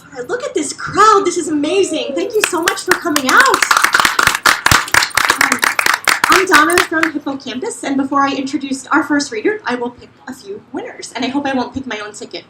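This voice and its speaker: A woman's voice: high voice, lively intonation, fairly quick, noisy background. Woman emcee, upbeat and chatty, in a roomy bar with a faint crowd murmur. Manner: upbeat, chatty, laughing easily. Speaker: host introducing readers. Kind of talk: live event hosting and raffle. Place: bar with a live audience. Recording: roomy live bar sound.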